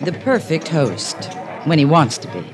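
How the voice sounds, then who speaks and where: A woman's voice: sits low, sing-song, moderate pace. An actress playing a world-weary, self-mocking woman who drinks too much, wry and resigned, in a 1950s radio drama. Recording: old 1950s radio recording with music.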